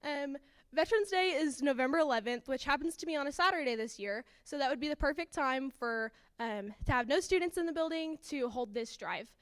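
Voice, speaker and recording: A woman's voice: sits high, animated, fairly quick, fairly bright tone. A teenage girl presenting a student project and answering judges' questions, earnest and sprinkled with ums. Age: teens.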